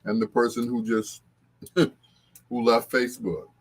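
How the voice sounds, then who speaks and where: A man's voice: medium pitch, flat delivery, a little slow, slight background noise. A man hosting a casual livestream, talking to viewers in a rambling, conversational way. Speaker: livestream host.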